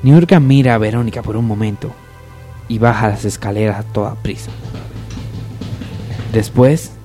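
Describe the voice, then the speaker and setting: A man's voice: fairly low pitch, normal speed, warm tone, faint music behind. A man narrating a parody radio soap opera from a script.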